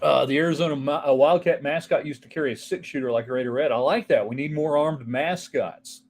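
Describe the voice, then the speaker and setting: A man's voice: a little high, a little fast, a little mellow. A man hosting a casual solo sports talk show, rambling from topic to topic and answering viewer texts. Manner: rambling, offhand, jumps between topics.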